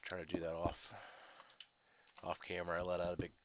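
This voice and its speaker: A man with a very deep voice talks through a stuffy head cold, sniffling as he rambles and chuckles over his gameplay. Loud steady noise and clicking sit under the home recording. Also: a little fast. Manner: rambling, chatty, chuckles often. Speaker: gaming video creator. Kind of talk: casual gameplay commentary.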